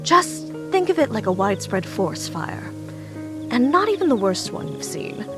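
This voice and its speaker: A woman's voice: a little high, sing-song, normal speed. A woman playing a commanding, dryly dramatic goat goddess in a visual novel game, articulate and formal. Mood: grave, wry. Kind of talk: animated visual novel acting. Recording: game audio with music behind.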